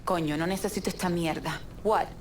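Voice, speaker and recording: Actress doing hard-bitten smuggler lady, really low voice, curt and sweary, game character. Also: fairly even intonation, fast, slight background noise, natural voice. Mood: irritated, bitter. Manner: blunt, foul-mouthed, brusque. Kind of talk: naturalistic video game cutscene acting.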